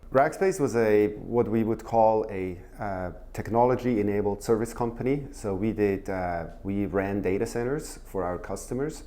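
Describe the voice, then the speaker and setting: A middle-aged man from Switzerland, a guest giving measured interview answers full of filler sounds. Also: lowish voice, mellow. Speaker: guest, former finance executive.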